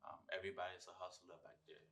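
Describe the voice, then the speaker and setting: A young man with a Liberian accent talks in a relaxed, chatty way as an interview guest. His voice sits a little low but sounds bright and forward. He laughs often and restarts his words as he goes. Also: fast, gravelly. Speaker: guest musician. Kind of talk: casual interview chat.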